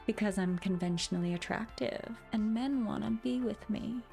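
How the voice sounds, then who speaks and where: A woman's voice: medium pitch, normal speed, vocal fry, mellow. A middle-aged woman doing a dramatic, sarcastic reading with asides, in a theatrical manner. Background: music behind the voice.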